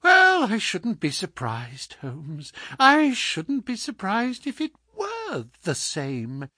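A man's voice: high voice, wildly swooping pitch, slow pace, sharp tone, breathy edge, dry recording, studio quality, pitched above the performer's own voice, exaggerated voice. A man voicing a gloating, sneering villain in an audiobook story, with an insistent, mocking manner. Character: gloating, sneering villain visiting a sick enemy. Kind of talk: audiobook character acting.

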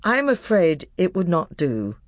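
A young, noble storybook king, as a narrator reads him, speaks with grave, kindly authority. The tone is courteous and firm, with lively rises and falls. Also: medium pitch, unhurried, no room sound, studio quality, voice pushed up. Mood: grave, decisive.

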